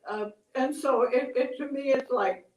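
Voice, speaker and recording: An older woman telling stories and reflecting on them in a gentle, halting, conversational way. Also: sits high. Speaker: storyteller, host. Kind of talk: oral storytelling and reflective chat. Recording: echoey room, distant mic.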